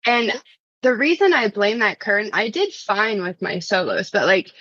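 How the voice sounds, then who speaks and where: Woman, medium pitch, podcast guest, casual storytelling, giggly, dry close sound. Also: sing-song, normal speed, fairly bright tone, no echo, lo-fi sound. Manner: giggly, punctuated with "like". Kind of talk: casual anecdotal chat.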